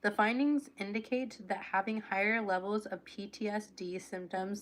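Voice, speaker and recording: A young woman hosting a casual livestream, reading aloud and chatting affectionately with her viewers. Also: medium pitch. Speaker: livestream host.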